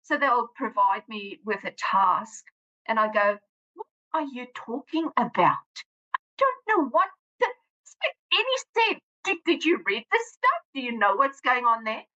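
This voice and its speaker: A woman's voice: a little high, sing-song, measured pace, bright, forceful tone, lo-fi sound. A woman from South Africa with a South African accent, whose first language is Afrikaans, speaking plainly in a casual interview with frequent restarts. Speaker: guest author and teacher.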